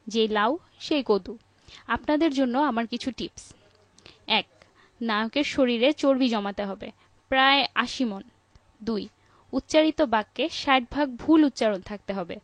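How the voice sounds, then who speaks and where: High, dry-sounding woman as a deadpan mock dignitary. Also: no room sound, natural voice. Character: mock dignitary woman solemnly reciting absurd tips. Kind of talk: scripted parody address.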